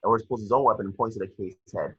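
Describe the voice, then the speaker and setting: Man as table-read narrator, husky and low, scripted action lines, muffled, close dry home audio. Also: very fast, fairly bright tone, dry recording. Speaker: narrator reading action descriptions. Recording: dry, dull lo-fi home recording.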